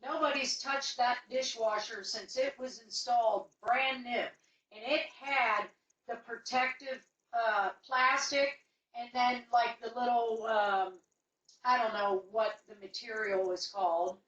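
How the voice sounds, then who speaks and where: A woman's voice: a little high, fairly bright tone, reverberant, distant mic. An indignant, insistent woman recounting a complaint as a customer on a recorded phone call, speaking emphatically. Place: indoors in a room, away from the mic.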